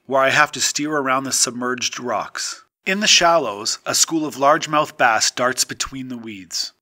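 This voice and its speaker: A man reading a short story aloud as an audiobook narrator, with a measured, dramatic delivery and pauses. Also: medium pitch, bright, forceful tone.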